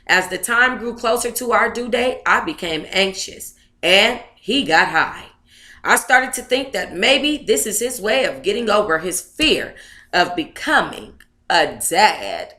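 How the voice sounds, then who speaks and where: Woman, bright forceful voice, playful livestream host, slangy and chatty, roomy echoey home sound. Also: medium pitch, lively intonation, measured pace. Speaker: livestream host reading their own book aloud. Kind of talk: casual livestream chat with read-aloud.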